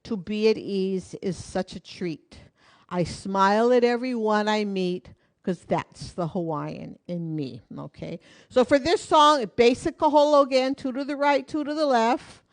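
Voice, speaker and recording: A woman's voice: mid-range voice, a little slow. A woman teaching a live online hula class from her home in Hawaii, counting beats and talking through each move in a friendly, encouraging way.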